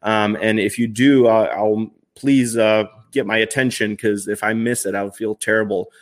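A man's voice: on the low side, fairly even intonation, moderate pace. A man in his thirties hosting a live stream, answering viewer questions in a chatty, upbeat way with lots of fillers and restarts. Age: 30s. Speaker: finance livestream host.

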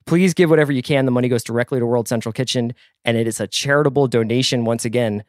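A man's voice: medium pitch, quick pace, no room sound, clean studio sound. An American man hosting a podcast in a casual, jokey, exuberant manner. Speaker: podcast host. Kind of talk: casual chat and sponsor read.

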